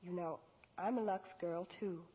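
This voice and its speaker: A woman's voice: on the low side, fairly even intonation, normal speed, husky. A young American woman from New York giving a polite, rehearsed 1940s radio endorsement as a starlet guest. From New York, United States. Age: young adult. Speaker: starlet guest in sponsor spot. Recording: muffled, echoing vintage radio.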